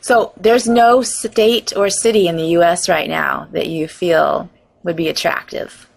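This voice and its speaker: A woman's voice: on the low side, fairly even intonation, a little slow, vocal fry. A woman hosting an interview, putting questions to a guest in a presenter's style. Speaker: interviewer and host. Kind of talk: financial interview questions and promotion.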